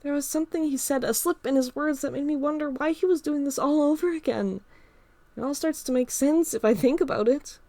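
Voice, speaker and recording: A woman's voice: sits high, a little fast, warm tone, no echo, voice pushed up. A woman reading a story, voicing a frightened teenage girl who stammers out apologies while crying. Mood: shaken. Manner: stammering, pleading.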